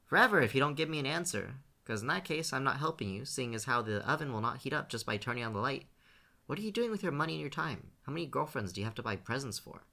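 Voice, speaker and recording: An impatient teenage boy, as a male narrator puts him on, pushing his brother for answers in a raised, bright voice. A gravelly grain stays under it. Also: fairly high pitch, sing-song, fast, pitched above the performer's own voice, heavily acted. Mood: pressing. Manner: impatient, pressing questions. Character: irritated, worried teenage boy.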